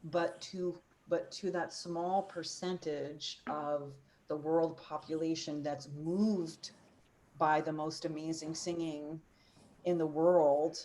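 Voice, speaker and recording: A woman's voice: low voice, fairly even intonation, slow, warm tone, poor audio. A woman in her forties, an opera singer and teacher, speaking passionately and earnestly on a panel over a video call. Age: 40s. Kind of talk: panel discussion.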